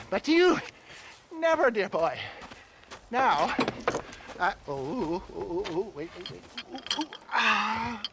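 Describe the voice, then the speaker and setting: An actor playing an eccentric, sly old swindler in a video game, with a florid, theatrical, chuckling manner. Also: male voice, high voice, background noise, exaggerated voice. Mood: sly, jovial. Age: older. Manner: florid, theatrical, hesitant drawl with cackles and mutterings. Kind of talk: video game character acting.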